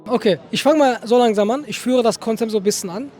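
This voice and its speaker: Lebhafter Straßeninterviewer, hohe Stimme. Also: male voice, very high voice, quick pace, soft background music. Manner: lively, brotherly, exclaims "krass" and "MashaAllah". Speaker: street interviewer. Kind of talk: street interview with religious appeal. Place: city street outdoors.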